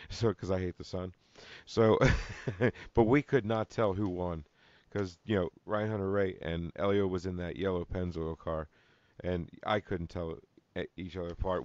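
A man's voice: deep voice, steady tone, normal speed, a little mellow, no echo. A man hosting a casual podcast chat, chatty and laughing often. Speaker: podcast host. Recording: dull lo-fi dry sound.

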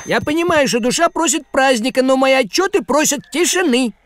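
A man's voice: very high voice, brisk, over music. A man voicing a grumpy, thrifty, fussy cartoon tomcat with a nagging, exasperated manner. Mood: weary grumbling. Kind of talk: cartoon voice acting.

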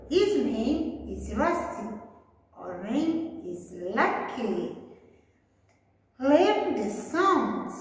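A young adult woman preschool teacher reading a story to small children in a deliberate, singsong way, recorded in a classroom. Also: sits high. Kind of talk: children's read-aloud story lesson. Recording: very echoey hard-walled room.

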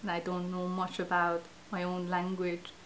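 A woman in her thirties from Iran with a Persian accent, a native Farsi speaker, talking reflectively to camera in a small indoor studio and often pausing to search for words. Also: on the low side, monotone, fairly bright tone, a little hiss or hum, poor audio. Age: 30s. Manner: hesitant, searching for words, many fillers. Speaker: interviewee, language project participant. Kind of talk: reflective interview talk to camera.

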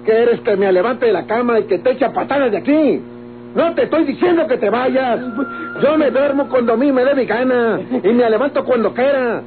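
Radionovela role: violent, suspicious Mexican man, gruff and insulting, high register, thin vintage sound. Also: fairly quick. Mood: irritated, gruff. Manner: gruff, threatening, insulting, rustic speech. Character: paranoid, violent, ill-tempered man with a pistol. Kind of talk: golden-age Mexican radionovela acting. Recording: old tinny radio recording with music.

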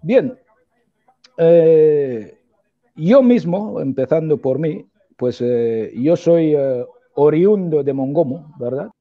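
A man's voice: fairly high pitch, expressive, slow pace. A man in his forties from Equatorial Guinea, speaking earnestly as an interview guest over a video call, with many fillers and tag questions. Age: 40s. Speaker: guest, opposition politician. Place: indoor room at home. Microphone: earphone headset on video call. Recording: tinny video-call audio.

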